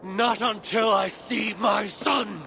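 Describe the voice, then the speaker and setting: An actor playing a grieving, stubborn old Norse god in a video game, gravelly and solemn in delivery. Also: male voice, high voice, steady tone, takes it slowly, heavy background noise, full character voice. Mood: defiant. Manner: solemn, archaic, commanding. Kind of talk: dramatic video game acting.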